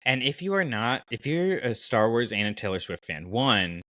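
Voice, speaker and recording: A man's voice: medium pitch, sing-song, moderate pace, fairly bright tone, no echo, studio quality. A man hosting a podcast in a casual, enthusiastic and conversational way. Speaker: podcast host.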